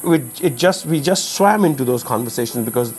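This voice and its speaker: A man from Pakistan, a native Urdu speaker, chatting casually, giving frequent backchannels and climbing high in pitch when excited. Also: fairly quick, soft background music. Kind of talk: casual conversation.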